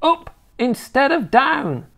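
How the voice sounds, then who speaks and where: A wicked, tiny old grandmother from a children's storybook, very high and creaky. She is bossy and scornful, stretching words out slowly with gleeful nastiness and huge swoops. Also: sing-song, heavily acted. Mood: bossy, snappish. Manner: snappish, scornful, drawn-out emphatic delivery.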